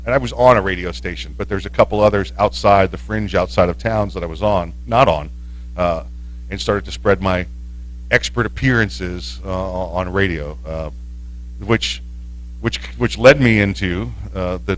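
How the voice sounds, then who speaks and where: Rambling, slightly low-voiced radio man. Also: poor audio. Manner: rambling, with many restarts and fillers. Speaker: radio host and panelist. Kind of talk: casual panel talk on a live stream.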